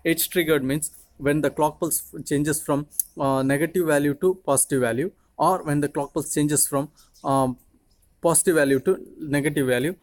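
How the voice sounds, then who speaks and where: A man in his twenties, a native Tamil speaker with an Indian accent, giving an explanatory lecture in a halting, repetitive way. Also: on the high side, steady tone, dry recording. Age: 20s. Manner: halting, repetitive, frequently checking with "okay". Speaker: teacher, video channel host.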